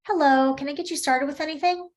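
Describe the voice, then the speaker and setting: A woman voicing a friendly diner waitress with brisk, sweet patter. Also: sits high, steady tone, voice pushed up, natural voice.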